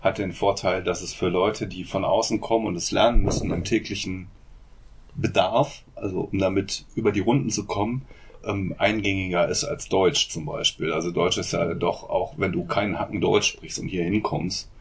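Give me a man with a very deep, rough voice explaining a point steadily. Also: steady tone, moderate pace. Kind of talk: casual group discussion.

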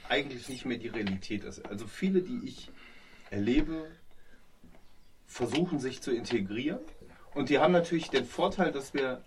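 Brief: German man, a doctor, halting speech, distant mic with light hiss. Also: medium pitch. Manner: hesitant, many fillers. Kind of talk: casual group discussion. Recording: distant mic with faint noise.

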